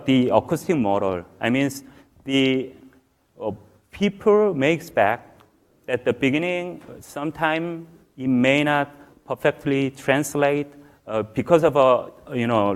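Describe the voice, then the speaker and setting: A man in his forties with a Korean accent, a native Korean speaker giving a halting technical talk on a conference stage, with many fillers. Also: mid-range voice. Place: large conference hall stage.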